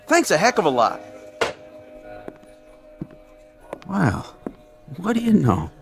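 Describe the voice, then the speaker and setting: A man's voice: high-pitched, wildly swooping pitch, moderate pace, gravelly, soft background music, full character voice. An actor playing a down-on-his-luck American ex-ballplayer, earnest and doubtful, in a hesitant, plain-spoken radio drama style. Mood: stunned. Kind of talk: vintage radio drama acting.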